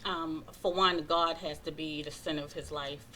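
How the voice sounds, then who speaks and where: A woman's voice: sits low, fairly even intonation, moderate pace, sharp tone, slight background noise. A woman in her early forties from Baltimore, speaking casually as a panel guest. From Baltimore, United States. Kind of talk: casual spoken self-introduction and opinion.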